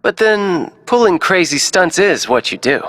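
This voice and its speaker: A man's voice: high-pitched, very animated, unhurried, fairly bright tone, big-room sound, exaggerated voice. An actor playing a blunt, wry male ally in a video game cutscene.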